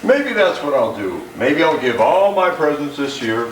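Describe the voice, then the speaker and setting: A man's voice: fairly high pitch, big pitch swings, normal speed, noisy background. A man voicing a grumpy, boisterous rat Santa puppet in a live children's show, given to blustering and nonsense syllables. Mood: jolly, rambling. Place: indoor room before an audience of children. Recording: echoey, distant room recording with music.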